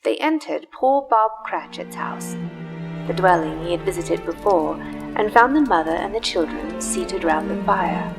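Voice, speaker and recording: A woman narrating a dramatic story live in a reverberant church, with grave, deliberate phrasing. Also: fairly low pitch, animated. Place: live performance in a large church. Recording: reverberant church hall, music behind. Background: music.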